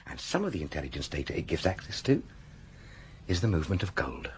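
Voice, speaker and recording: An actor playing an astute, world-weary police detective in a radio crime drama, with a husky voice and a deliberate way of explaining. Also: male voice, rumbling low, big pitch swings, faint music behind. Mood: explanatory.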